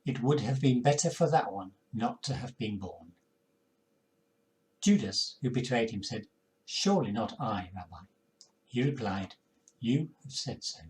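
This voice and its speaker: Older male lay reader, solemn and measured, scripture read at home. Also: mid-range voice, animated, low-quality recording. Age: older, 60s or 70s. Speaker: congregation member reading scripture. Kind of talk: scripture reading. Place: living room at home, indoors.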